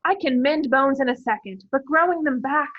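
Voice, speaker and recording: A woman's voice: a little high, lively intonation, a little fast, highs cut off. A woman voicing a stern, bustling older school nurse who scolds grimly. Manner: scolding and grim.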